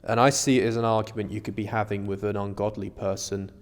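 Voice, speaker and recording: Low-voiced man in a reverberant space. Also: flat delivery, fast talker. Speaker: podcast co-host. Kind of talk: casual religious discussion. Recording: long hall-like reverb.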